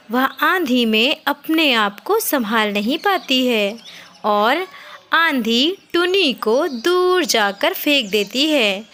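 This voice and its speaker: A woman's voice: sits high, pushed and bright. A woman reading scripted narration for a children's cartoon story.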